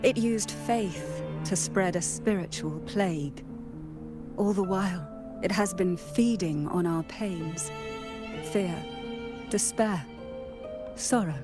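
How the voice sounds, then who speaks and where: A woman playing a solemn, century-old matriarch in a video game, speaking in grave, measured storytelling. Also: on the low side, over music. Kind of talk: dramatic video game voice acting.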